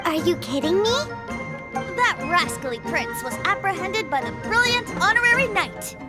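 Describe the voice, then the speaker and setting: Squeaky, animated little childlike companion. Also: female voice, normal speed. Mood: indignant. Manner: exclamatory, outspoken. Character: small, spirited, childlike companion, indignant and fussy. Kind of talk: video game voice acting. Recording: music behind the voice.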